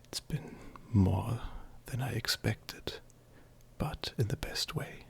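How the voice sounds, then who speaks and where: A man playing an affectionate, teasing furry male friend in an intimate roleplay ASMR, softly flirtatious and hesitant, with a breathy, whispery voice. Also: lowish voice, takes it slowly. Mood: tender, grateful.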